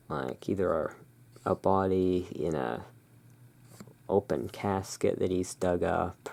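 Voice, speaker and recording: An ASMR host in a gothic shopkeeper roleplay, musing in an unhurried, gently whimsical way while commenting on cards. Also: low voice, gravelly. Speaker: host playing a gothic curiosity shop owner.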